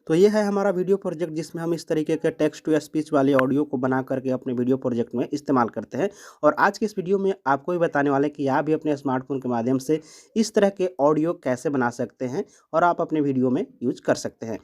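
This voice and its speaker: A man's voice: on the high side, fairly quick, darkish tone. A man hosting a step-by-step app tutorial, explaining instructively to viewers. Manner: instructional, addressing viewers as friends. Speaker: tutorial host.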